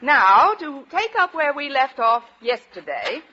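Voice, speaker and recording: An actress playing a wisecracking, easily flustered English teacher with dry delivery in an old radio comedy. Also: female voice, high voice, big pitch swings, unhurried, pushed and bright, heavily acted. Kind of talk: 1940s-50s radio comedy acting. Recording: dull, noisy old radio, studio audience.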